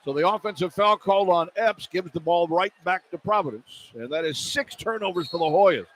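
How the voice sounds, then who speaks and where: A man doing live basketball play-by-play on the radio as the announcer, animated and quick to exclaim at the action. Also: high-pitched. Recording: poor radio feed, clear background noise.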